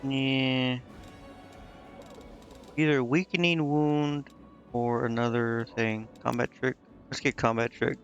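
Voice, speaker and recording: A man's voice: medium pitch, flat delivery, takes it slowly, long pauses, dull audio, soft background music. A man streaming a video game, thinking aloud in casual commentary and muttering indecisively to himself.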